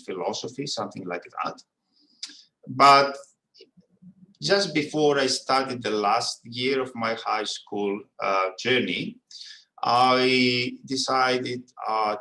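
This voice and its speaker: A middle-aged man with a Greek accent giving an informal autobiographical presentation as a guest academic speaker, haltingly, with many ums. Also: mid-range voice, creaky, sharp tone. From Greece. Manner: hesitant, halting delivery with frequent ums. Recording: roomy, echoing sound.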